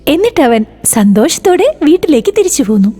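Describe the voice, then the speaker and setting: A woman narrating a children's moral story in an expressive, sing-song way. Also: sits high, brisk.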